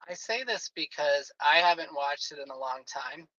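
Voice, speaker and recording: A man's voice: fairly high pitch, expressive, normal speed, bright, forceful tone, no room sound, highs cut off. A man in his late forties chatting casually on a podcast, rambling with lots of fillers. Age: 40s. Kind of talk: casual chat.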